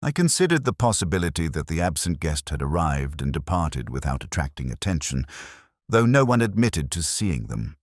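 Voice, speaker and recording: A man reading a first-person detective story in a measured, formal, literary manner, as scripted narration. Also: extremely low pitch, big pitch swings, no room sound, clean studio sound. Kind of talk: scripted audiobook-style mystery narration.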